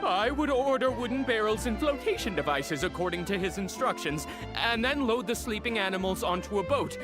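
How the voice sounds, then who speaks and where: Cornered stammering smuggler, high and wildly varied, pleading, game score underneath. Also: male voice, animated, brisk. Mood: confessing. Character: cowardly stammering male smuggler caught by guards. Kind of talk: game voice acting. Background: music.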